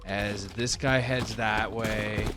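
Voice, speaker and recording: Slow, deliberate man running a game. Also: fairly low pitch, steady tone, music in the background. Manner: casual, swearing. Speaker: game master. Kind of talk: narrating a tabletop roleplaying game.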